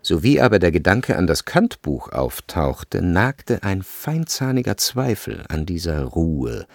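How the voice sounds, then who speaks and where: A man narrating a literary radio drama from a script in a measured, expressive storytelling manner. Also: sits low, creaky, no echo, soft background music.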